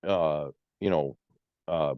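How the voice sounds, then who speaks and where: A man's voice: rumbling low, takes it slowly, dry recording, dull audio. A man around forty, a music producer as guest, talking in a laid-back, casual way and ending lines with "man" and "you know what I mean". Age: 40s. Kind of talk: casual interview chat.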